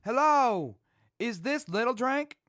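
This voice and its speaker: An actress playing a practical, weary leader of wasteland travelers, with a sensible, folksy manner, in an audio drama. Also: female voice, fairly high pitch, animated, takes it slowly, fairly bright tone, no room sound, studio quality. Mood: wary. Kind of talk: post-apocalyptic audio drama acting.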